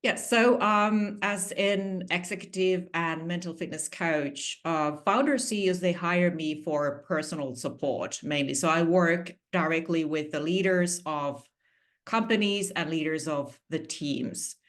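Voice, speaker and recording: Finnish woman coach, low voice. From Finland. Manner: measured, explanatory, slightly non-native phrasing. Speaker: executive coach guest. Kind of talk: interview answers.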